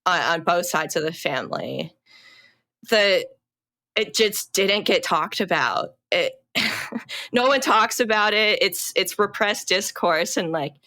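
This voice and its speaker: A young adult woman, a podcast guest, telling her own story in a halting, reflective way with many fillers and restarts. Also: on the low side, expressive, sharp tone. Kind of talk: personal storytelling in a podcast interview.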